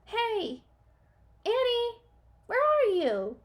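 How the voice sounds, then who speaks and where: Storybook boy, young and eager, pitched high, put on by a woman reading aloud. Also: wildly swooping pitch, extremely slow, heavily acted. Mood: calling out.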